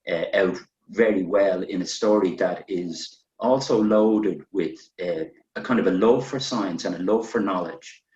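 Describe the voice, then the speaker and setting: Deep-voiced man, distant and echoey. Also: a little slow, few pauses, a little mellow. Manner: loose, meandering phrasing. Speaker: moderator of a literary panel. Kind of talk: informal literary discussion, posing questions. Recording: echoey, muffled, distant from mic.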